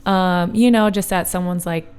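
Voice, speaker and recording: A woman's voice: lowish voice, steady tone, normal speed, a little mellow, smooth. A thirty-year-old woman, a podcast guest, chatting casually and animatedly, acting out what people say and laughing often. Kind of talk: casual chat.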